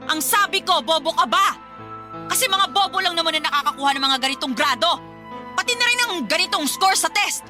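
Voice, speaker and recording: A woman's voice: extremely high pitch, animated, a little fast, over music. A woman playing a harsh mother in a Filipino radio drama, berating her teenage daughter with sarcastic, belittling words. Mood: angry.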